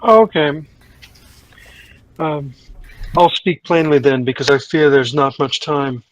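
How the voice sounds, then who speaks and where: A man playing a tabletop game in character, speaking in a slow, formal, slightly archaic way. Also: a little high, lo-fi sound. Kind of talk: in-character roleplay, formal speech.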